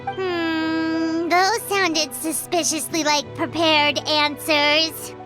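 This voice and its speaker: A woman voicing a tiny, childlike floating companion in an anime game, chirpy, bossy and excitable, with a squeaky voice. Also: drawn-out words, full character voice, poor audio. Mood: evasive. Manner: excitable, speaks of self in third person. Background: music.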